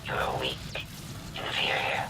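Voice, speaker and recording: A man voicing a menacing, contemptuous spirit in a video game, with a breathy, whispering, imperious delivery. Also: distant mic, close to an everyday voice. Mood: cold menace. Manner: slow, imperious, scornful. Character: menacing disembodied male spirit, contemptuous and cold. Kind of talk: video game villain acting.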